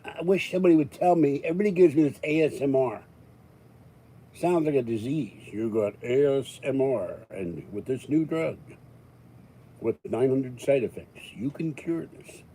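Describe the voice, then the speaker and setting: An older American man hosting his own channel, talking in a rambling, casual way with jokey asides and frequent throat-clearing. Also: medium pitch, moderate pace, warm tone. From Pittsburgh, United States. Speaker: YouTube channel host. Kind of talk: rambling casual monologue to viewers.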